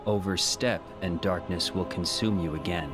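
A man playing a cold, smug young villain in a video game, mocking and deliberate in his menace. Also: deep voice, steady tone, over music, natural voice.

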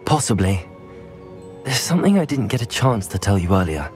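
A man's voice: fairly low pitch, big pitch swings, normal speed, a little mellow, faint music behind. An actor playing an earnest young wizard student who reports urgent news to his elders.

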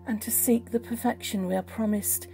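A woman's voice: on the high side, steady tone, normal speed, vocal fry, over music, low-quality recording. A woman reading a prayer in a solemn, formal style. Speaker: prayer reader.

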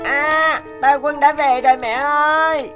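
A woman's voice: high-pitched, fairly even intonation, slow, music in the background. A woman voicing an earnest little boy devoted to his mother in a cartoon drama. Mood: innocent.

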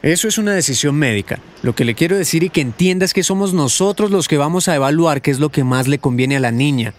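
A man's voice: fairly high pitch, animated, fast talker, natural voice. A man playing a formal, officious hospital doctor in a radio drama, firm and dismissive, with a Colombian accent. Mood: firm, cautious.

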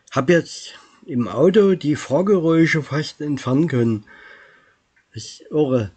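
A man's voice: mid-range voice, darkish tone. A middle-aged man from Germany, giving casual commentary for his vlog. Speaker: vlogger.

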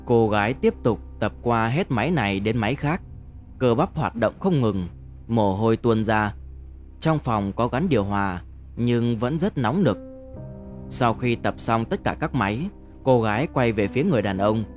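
A man narrating a novel as an audiobook in a measured, deliberate storytelling manner. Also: mid-range voice, expressive, faint music behind.

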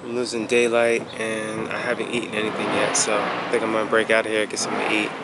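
A man, casually vlogging to a handheld camera after a car meet, speaking in a relaxed, repetitive way with slang. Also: on the low side, fast talker, gravelly. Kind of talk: casual vlog. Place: outdoors by a street, then in a car. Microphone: handheld camera at arm's length. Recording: loud traffic noise outdoors.

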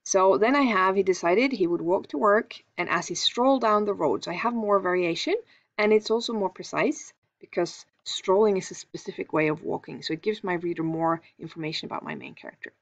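A Norwegian woman teaching a screen-recorded lesson, explaining calmly and thinking aloud with small fillers. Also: mid-range voice, a little fast, muffled recording.

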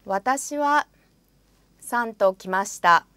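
A woman with a fairly high voice models phrases for a language lesson. She says each line with careful pronunciation and then repeats it. The recording is dry and close. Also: lively intonation, slow pace, pushed and bright, clean tone, dry recording. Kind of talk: slow, repeated example phrases. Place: studio.

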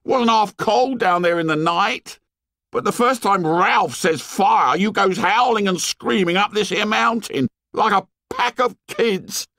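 Storybook schoolboy outsider: very high pitch, whining indignation, swooping delivery. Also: male voice, animated, slow pace, gravelly, a bit bright, no room sound, exaggerated voice. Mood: indignant scolding. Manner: shrill scolding tirade in ungrammatical speech.

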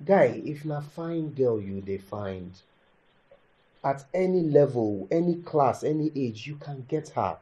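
A male actor plays a reasonable Nigerian friend in a film drama. His voice is soft-edged and dark, sitting at a medium pitch. He talks informally and frankly, pleading and persuading in a placating way. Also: animated, a little slow, close to an everyday voice, poor audio. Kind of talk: naturalistic film drama acting.

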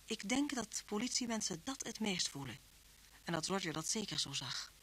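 A woman's voice: medium pitch, very animated, normal speed, a bit bright, slight background noise. An actress playing a reflective woman who narrates a detective radio drama as the inspector's confidante.

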